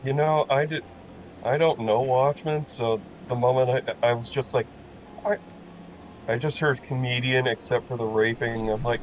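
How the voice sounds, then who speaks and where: A man's voice: mid-range voice, steady tone, normal speed, slight background noise, low-quality recording. A man joining casual banter as a guest, sardonic in his remarks. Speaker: guest co-commentator.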